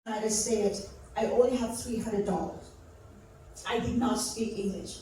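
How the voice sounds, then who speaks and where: A woman from Thailand with a Thai accent, telling her personal story in a halting speech with restarts. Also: mid-range voice, steady tone, mellow, slight background noise. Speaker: speaker. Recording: echoey hall from far off.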